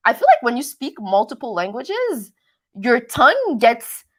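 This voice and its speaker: Higher-pitched woman, casual rambling livestreamer. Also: sing-song, a little slow. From Canada. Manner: rambling, self-deprecating, stumbles over words; playfully switches between accents. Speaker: livestream host of a reality TV recap channel. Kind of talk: casual live chat, answering viewer comments.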